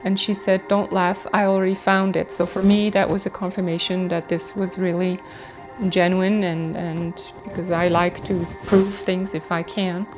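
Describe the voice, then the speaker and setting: A woman from Switzerland, a medium calmly and steadily explaining her work in a documentary interview. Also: lowish voice, over music, poor audio. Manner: calm, explanatory, measured. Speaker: automatic writing medium.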